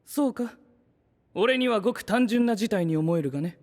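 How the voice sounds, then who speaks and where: An actor playing an aloof, analytical young knight with a detached, haughty manner in a Japanese anime voice drama. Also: male voice, sits high, animated, moderate pace, a little mellow. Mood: dismissive. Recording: long hall reverb.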